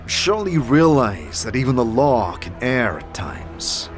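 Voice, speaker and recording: A man playing a compassionate, hesitant adventurer, speaking earnestly and haltingly in a fantasy audio drama. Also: medium pitch, big pitch swings. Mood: firm, measured. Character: hesitant, compassionate male adventurer and second-person narrator. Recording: loud music behind the voice. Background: music.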